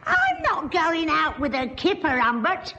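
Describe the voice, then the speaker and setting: A woman's voice: high-pitched, huge pitch swings, unhurried, sharp tone, a little hiss or hum, heavily acted. A woman playing a scatterbrained, nagging farmer's wife in a radio comedy. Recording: dull old radio recording.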